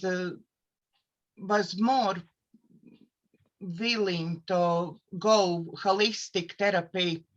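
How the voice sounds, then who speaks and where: Slow-spoken Latvian woman coach. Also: medium pitch, muffled recording. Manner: careful non-native English with hesitant fillers. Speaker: host, life coach and therapist. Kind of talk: self-introduction to camera.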